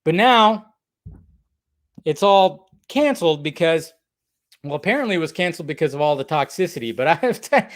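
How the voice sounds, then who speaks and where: A man hosting a live fan commentary show in an unscripted rant, exasperated and blunt, swearing heavily and repeating phrases for emphasis. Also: sits high.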